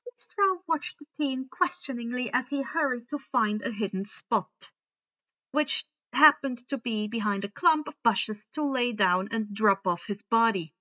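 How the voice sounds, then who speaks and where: High, bright-voiced woman reading a story. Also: animated, a little slow, muffled recording. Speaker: amateur story narrator. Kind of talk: expressive reading of fan fiction aloud.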